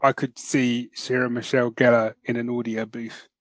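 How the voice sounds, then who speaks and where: A British man co-hosting a podcast, reviewing enthusiastically in casual, slangy, animated talk. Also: medium pitch, normal speed, background noise. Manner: animated, slangy, often addresses listener as man. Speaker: podcast host.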